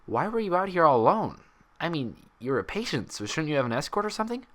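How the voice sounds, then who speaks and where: A woman's voice: deep voice, sing-song, quick pace, voice pushed up. A woman as an anxious, polite young unicorn. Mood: cautious. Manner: hesitant, careful.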